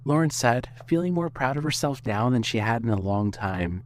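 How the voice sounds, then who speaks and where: A man's voice: medium pitch, expressive, normal speed, a little hiss or hum. A man reading scripted narration for an audio fiction story.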